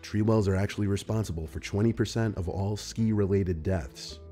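Deep, mellow male narrator. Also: moderate pace. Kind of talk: scripted narration. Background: music.

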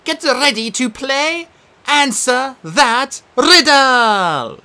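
A man voicing a gleeful female sphinx who hosts a game show, with a showy, drawn-out announcer delivery. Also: very high-pitched, lively intonation, pushed and bright, pitched above the performer's own voice, heavily acted. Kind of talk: audiobook character voice.

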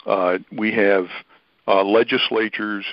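Male spokesman, lowish voice, courteous and measured explainer, slightly muffled dry telephone audio. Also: steady tone, no echo. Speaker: spokesman for an oath-keeping organization. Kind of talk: measured explanatory call-in talk. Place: telephone conference call. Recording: dull band-limited phone line.

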